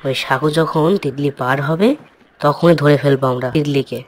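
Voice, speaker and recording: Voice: mid-range voice, steady tone, moderate pace, a little hiss or hum, natural voice. An actor voicing a sly, gloating jackal villain in a fairy-tale cartoon. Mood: sly, scheming. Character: scheming gloating jackal villain who eavesdrops.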